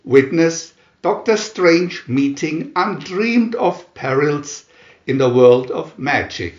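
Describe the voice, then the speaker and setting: A man reading comic book captions as a dramatic narrator, announcer-like, with long pauses between phrases. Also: on the high side, lively intonation, roomy sound, lo-fi sound. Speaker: narrator reading View-Master booklet captions.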